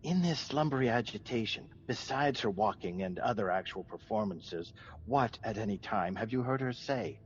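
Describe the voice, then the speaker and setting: A man playing a grave, pious, watchful doctor in a Shakespearean radio drama, speaking with measured, formal concern. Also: on the low side, lively intonation, muffled recording, a little hiss or hum, close to an everyday voice. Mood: observant, concerned.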